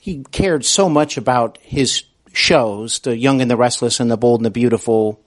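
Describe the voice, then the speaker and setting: A man's voice: mid-range voice, normal speed. A man speaking as a podcast interviewer, building wordy, slightly hesitant questions in a conversational style.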